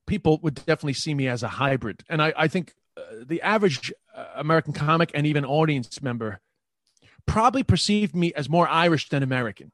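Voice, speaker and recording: A man's voice: a little high, expressive, fast, a bit bright, no echo. A man with a New York accent, a comedian talking casually in an interview and often restarting his sentences. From New York, Queens. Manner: rambling, repeats words and restarts. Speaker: comedian guest.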